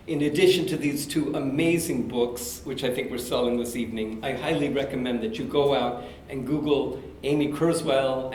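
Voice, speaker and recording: A man's voice: medium pitch, darkish tone, slight background noise. Man introducing a reading in a hall. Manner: measured, reads from prepared notes with occasional fillers. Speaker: event host introducing the speaker. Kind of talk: formal introduction at a literary reading. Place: university event hall.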